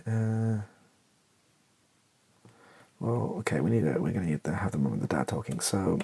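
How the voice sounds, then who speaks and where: A British man doing comedy ASMR, muttering haltingly aloud as he types out a script. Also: low voice, expressive, warm tone. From Britain. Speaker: host of a comedy ASMR channel. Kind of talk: ASMR, improvised comedy while typing a script. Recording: faint noise with keyboard clicks and typing. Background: computer keyboard typing.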